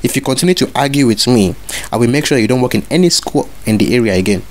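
A man's voice: medium pitch, lively intonation, fast talker. A man making a prank phone call as a fake school inspector, bossy and repeating his orders over and over. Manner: bossy, repetitive, demanding orders.

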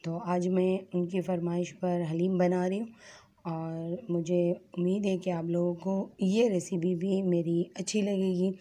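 A woman with a hoarse, sore throat, chatting straight to viewers as she hosts a casual home cooking tutorial, filmed on a phone in her kitchen. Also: lowish voice, flat delivery, a little slow, dark tone. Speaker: cooking channel host.

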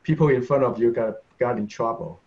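A man jumps in eagerly on a video call and tells a story in halting phrasing. He speaks at a mid pitch through a headset, and the call audio sounds dull and muffled. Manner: animated, halting non-native phrasing. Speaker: panelist, conductor and tubist. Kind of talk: casual video-call discussion. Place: home office with bookshelves.

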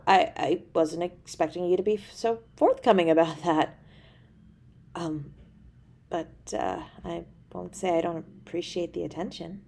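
A woman's voice: fairly low pitch, darkish tone. A woman playing a tender, easily flustered young girlfriend in an intimate audio roleplay, speaking in a hesitant, stammering way. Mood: shy, flustered. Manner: hesitant, stammering, halting delivery.

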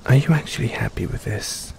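A man playing an affectionate, self-doubting middle-aged dad in an intimate audio roleplay, speaking hesitantly and tenderly with gentle teasing. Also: medium pitch, brisk, gravelly. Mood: anxious, hesitant. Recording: rain-like ambience noise behind.

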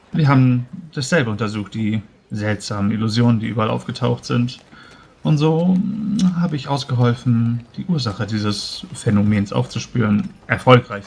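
Tabletop game: man as self-assured young male mage, courteous and deliberate, mid-range voice, light ambient noise. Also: medium pitch, vocal fry, darkish tone. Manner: hesitant, formal, deliberate. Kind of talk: tabletop roleplay in character.

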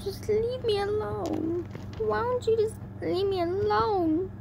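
Girl doing a desperate pony from a fanfic, super high n strained, begging over and over, hummy noisy audio. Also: slow, creaky, exaggerated voice. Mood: tearful pleading. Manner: pleading, repeating herself. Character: tormented female pegasus pony, sobbing and desperate. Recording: noisy homemade recording with hum.

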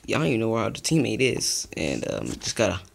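A young man giving casual, rambling commentary over his own gameplay, chatty and easily excited. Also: on the low side, fast talker, vocal fry. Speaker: gaming youtuber.

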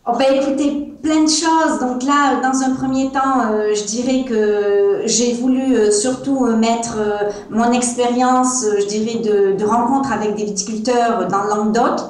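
A woman's voice: on the high side, fairly even intonation, a little slow, few pauses, slight background noise. Hesitant woman with a southern French accent. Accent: Provençal French. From France. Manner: hesitant, many fillers. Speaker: guest, wine seller. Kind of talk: interview over video call. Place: small room at home, indoors. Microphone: earbuds on a video call. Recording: echoey room sound over a video call.

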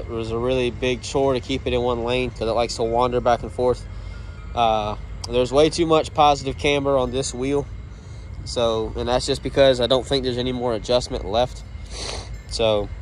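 A young man talking casually and plainly in an unscripted, rambling way, as a car vlogger filming on a handheld phone. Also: medium pitch. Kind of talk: casual unscripted vehicle walkaround. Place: outdoors in a driveway and at open car door. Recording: outdoor handheld recording, ambient noise.

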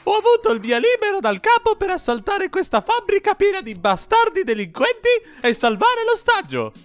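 A man voicing an excitable, bossy plumber who leads a squad in a parody cartoon, exclaiming as he talks. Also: extremely high pitch, moderate pace, soft background music, heavily acted. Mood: rallying. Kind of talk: Italian parody cartoon dubbing.

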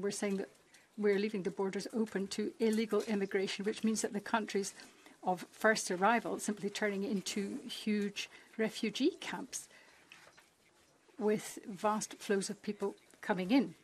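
A woman simultaneously interpreting a parliamentary speech, with a steady, measured delivery and occasional restarts. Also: medium pitch, a little hiss or hum.

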